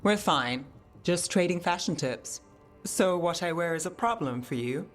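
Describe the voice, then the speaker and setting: A cool, self-assured mage. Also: female voice, lowish voice, expressive, a little slow, soft background music. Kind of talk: video game cutscene dialogue.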